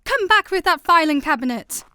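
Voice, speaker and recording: A woman playing an indignant lady journalist in a comedic sci-fi radio play, commanding and loud. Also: very high-pitched, lively intonation, normal speed, no room sound, studio quality, heavily acted. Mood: indignant, threatening. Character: shrill, indignant lady journalist chasing thieves.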